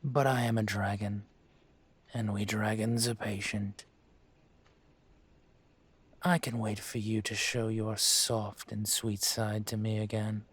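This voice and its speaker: Man playing a slow, deep-voiced dragon mafia boss. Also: little pitch movement, slightly rough. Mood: patient, teasing. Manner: slow, measured, condescending, teasing. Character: male dragon mafia boss, suave, patient, menacing. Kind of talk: scripted audio roleplay, intimate.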